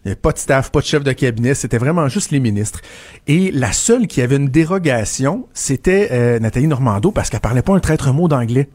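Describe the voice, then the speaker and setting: A man's voice: mid-range voice, lively intonation, brisk, a little mellow, no room sound. A man with a Québécois French accent, a political commentator chatting casually and telling anecdotes with frequent restarts. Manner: storytelling, many restarts and repeated words. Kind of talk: casual radio chat.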